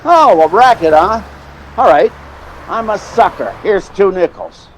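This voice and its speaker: Theatrical agent for radio drama, male actor, highish pitch, sentimental and wheedling, some background noise. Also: lively intonation, a little slow, low-quality recording. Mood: amused, amazed. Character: sentimental, fast-talking male theatrical agent telling his story. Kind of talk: old-time radio drama, narration and dialogue.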